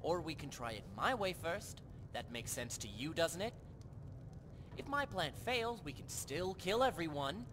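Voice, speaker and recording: Man playing a lively, high-voiced gambler adventurer. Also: measured pace. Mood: eager, persuasive. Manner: casual, talkative, expressive. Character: chatty, confident male card-player adventurer, persuasive schemer. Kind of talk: scripted video game dialogue. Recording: clear rumbling background noise.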